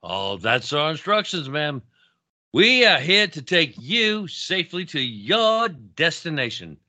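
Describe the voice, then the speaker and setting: A man plays a playful young big brother who jokes with mock formality. His voice is very bright and forceful and swings widely in pitch. He speaks slowly, and the sound is dry. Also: very animated, dry recording, heavily acted.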